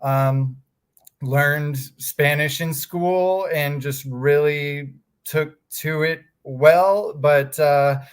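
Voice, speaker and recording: A man's voice: a little high, fairly even intonation, very slow, smooth. A man from Texas in his thirties, speaking, talking casually in an interview with many fillers and restarts. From Texas, USA. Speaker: guest, fraud examiners association staff.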